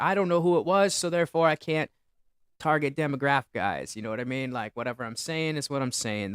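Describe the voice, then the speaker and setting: A young man hosting a solo livestream, rambling and boasting loudly about himself. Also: fairly high pitch, smooth, no echo, clean studio sound. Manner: boastful, rambling, self-aggrandizing. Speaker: livestream host.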